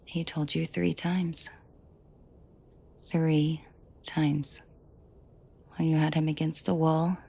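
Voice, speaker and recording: A woman playing a commanding, protective mafia boss in an intimate roleplay audio drama, shifting between cold authority and soft coaxing. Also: low voice, level tone, slow, creaky, pauses often, slightly rough, full character voice. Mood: cold, incredulous. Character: commanding female mafia boss, furious yet protective and tender.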